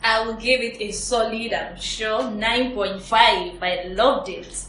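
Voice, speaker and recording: A woman's voice: fairly high pitch, fairly even intonation, slow pace, fairly bright tone. A woman on a casual review panel, firm and argumentative, reasoning her way step by step. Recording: echoey room with faint noise.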